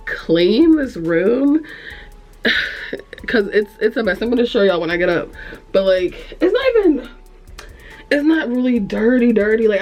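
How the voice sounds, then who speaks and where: A young woman with a congested, nasal voice, rambling frankly to camera in a room at home, easily distracted and cursing. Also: medium pitch. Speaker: vlogger. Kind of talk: casual chat to camera.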